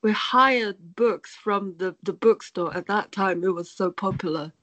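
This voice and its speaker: Slow-paced woman, close dry sound. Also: medium pitch, a bit bright, no room sound, low-quality recording. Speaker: podcast guest. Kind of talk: casual chat.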